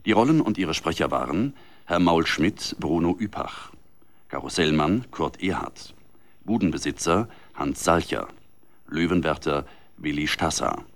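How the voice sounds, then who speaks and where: A man with an extremely low voice announces for radio. His delivery is formal and scripted. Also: expressive, a little slow.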